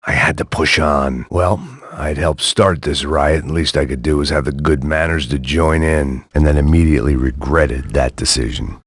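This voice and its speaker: An actor playing a weary, cynical hard-boiled ex-cop, delivering dry, sardonic inner monologue as video game voice lines. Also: male voice, very deep voice, steady tone, normal speed, few pauses, breathy edge, dry recording. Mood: world-weary.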